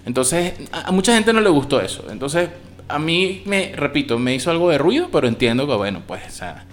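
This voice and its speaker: A man's voice: a little high, fairly quick. A man from Venezuela with a Venezuelan Spanish accent, giving a casual, slangy spoken review of a TV series. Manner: slangy, expressive, swears freely.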